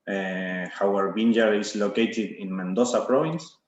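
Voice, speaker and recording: Argentinian man in his thirties, slow halting speaker, lowish voice, echoey lo-fi video call audio. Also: fairly even intonation. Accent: Argentinian Spanish. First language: Spanish. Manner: halting, many fillers and restarts. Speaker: winemaker. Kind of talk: hesitant video-call presentation.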